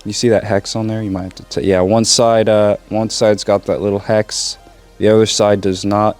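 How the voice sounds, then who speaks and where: A young man in his twenties, a bit sick and hoarse, chatting loosely to viewers about his car project. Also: fairly low pitch, flat delivery, normal speed, a little mellow, soft background music. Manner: loose and joking, addresses viewers as guys. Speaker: car vlogger. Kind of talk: casual vlog chat. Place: home bedroom, then cold outdoor garage.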